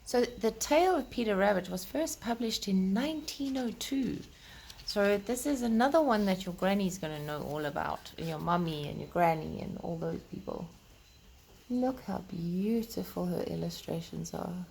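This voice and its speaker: A woman's voice: medium pitch, expressive, moderate pace, vocal fry, a little mellow, a little hiss or hum. A woman hosting a children's storytime, reading aloud and talking directly to young listeners in simple, explanatory language. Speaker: children's storytime host and reader.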